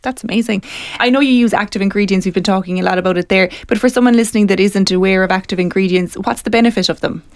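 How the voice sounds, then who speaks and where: A woman hosting an interview, talking quickly and warmly affirming her guest as she strings together long, rambling questions. Her pitch is middling and the sound is close and dry. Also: dry recording. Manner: encouraging, rambling questions with fillers. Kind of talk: radio-style interview questions.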